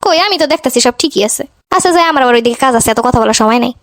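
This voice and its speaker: High, rapid-fire Bangladeshi cartoon wife voiced by a woman. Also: animated, fairly bright tone. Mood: cool, sarcastic. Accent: Bangladeshi Bengali. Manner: scolding, sarcastic. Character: neglected young wife, hurt, sarcastic and defiant. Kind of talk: amateur cartoon dialogue.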